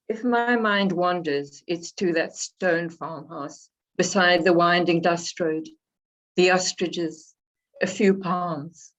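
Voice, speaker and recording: A woman from Africa, slightly hoarse from a cold, reading her poems and introducing them in a reflective, unhurried way. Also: fairly low pitch. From South Africa. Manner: unhurried, reflective, pausing between phrases. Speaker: poet. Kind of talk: poetry reading with spoken introductions. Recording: dull lo-fi recording.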